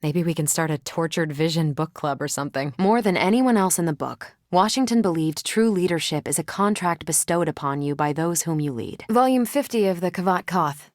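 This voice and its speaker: A woman's voice: lowish voice, lively intonation, a little fast, few pauses, darkish tone, no room sound, studio quality, natural voice. A woman voicing a confident, wry young superhero soldier with an American accent, in casual, self-assured video game banter. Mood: dry, amused.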